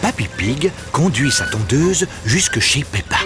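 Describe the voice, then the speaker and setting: A man's voice: mid-range voice, big pitch swings, a little slow. A man acting as a friendly storybook narrator, telling a preschool cartoon in simple phrases. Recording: music behind the voice.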